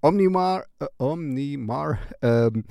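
A man's voice: fairly high pitch, animated, creaky, mellow, no echo, clean studio sound. A man hosting a music recommendation show in a casual, rambling, playful and distracted way. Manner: playful, distracted, halting delivery.

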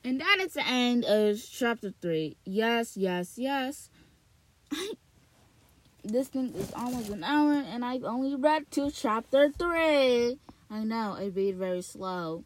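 A woman reading a novel aloud slowly on her podcast, then rambling in casual chat, repeating words with many fillers and restarts, recorded in a small closet. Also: mid-range voice, a little hiss or hum, poor audio.

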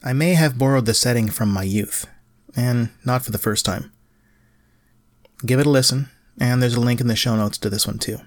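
Man, middle-aged, slightly low pitch, dry sound, measured scripted podcast delivery. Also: dry recording. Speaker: podcast host, writer. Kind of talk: scripted solo podcast narration.

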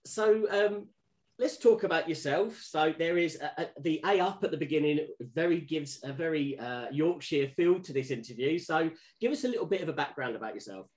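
A man's voice: fairly high pitch, expressive, moderate pace. A man hosting a podcast, asking long, rambling questions with restarts. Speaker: podcast host. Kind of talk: podcast interview.

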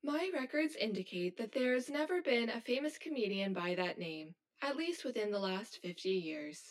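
A woman's voice: a little high, lively intonation, moderate pace, close to an everyday voice. Woman voicing female robot, stilted literal phrasing, formal, hollow, guarded, audio drama. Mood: matter-of-fact.

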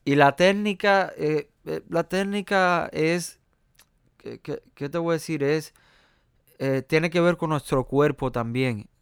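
Smooth-voiced Cuban pianist, halting and chatty. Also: male voice, a little high, dry recording, studio quality. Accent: Cuban Spanish. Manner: hesitant, many fillers and restarts. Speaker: jazz pianist guest. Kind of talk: conversational interview.

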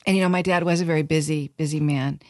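A middle-aged woman co-hosting a family podcast, speaking in a heartfelt, gently reflective casual way. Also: deep voice, steady tone, fast, no room sound, studio quality. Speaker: podcast co-host. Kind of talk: casual chat.

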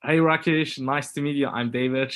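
A man's voice: mid-range voice, steady tone, normal speed, fairly bright tone. A man, a guest in a podcast interview, speaking hesitantly with many fillers. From Germany. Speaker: entrepreneur guest.

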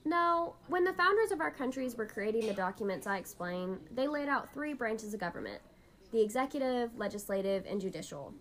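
Higher-voiced woman explaining as podcast host. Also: sing-song, brisk, a bit bright. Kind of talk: scripted educational explainer dialogue.

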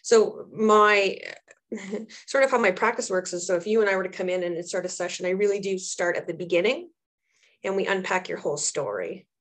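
A woman's voice: medium pitch, moderate pace. A woman, thirties or older, answering as an interview guest and therapist, speaking earnestly and reflectively and repeating phrases for emphasis. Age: 30s or older. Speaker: trauma therapist and social worker, interview guest.

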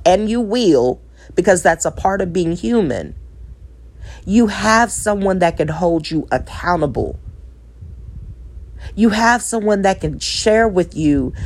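A woman's voice: lowish voice, a little mellow. A woman, a divorced single mother giving relationship advice in an earnest, reflective monologue, with a preacher-like cadence, repeated phrases and self-deprecating asides.

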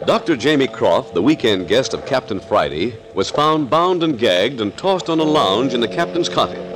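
Theatrical American announcer for a vintage radio thriller, urgent recap style, swooping delivery over music. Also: male voice, medium pitch, big pitch swings, normal speed, fairly bright tone, rough recording. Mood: dramatic, suspenseful. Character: dramatic, suspense-building radio serial announcer. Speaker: narrator. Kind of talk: 1940s radio scripted narration.

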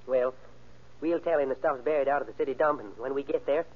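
A man's voice: mid-range voice, fast talker, lightly acted. Tinny old-radio gangster ringleader, played by a man. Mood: cocky, scheming. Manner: slangy, bossy tough-guy talk. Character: cold, cocky gangster ringleader, scheming and tough. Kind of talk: old-time radio crime drama acting. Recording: tinny, band-limited old radio recording.

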